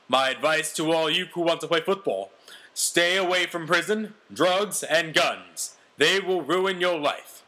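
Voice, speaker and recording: A man's voice: a little high, measured pace, sharp tone, poor audio. A man voicing a brash, self-important ex-convict quarterback telling his own story with melodramatic, boastful delivery. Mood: earnest, preachy.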